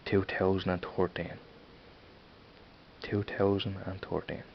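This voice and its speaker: A man in his thirties with a Dublin accent, rambling casually and halting over his words in an off-camera vlog. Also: low-pitched, little pitch movement, vocal fry. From Ireland. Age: 30s. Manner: rambling, halting, many restarts. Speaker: vlogger. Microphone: camera mic, filming a screen. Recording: dull lo-fi sound with faint hiss.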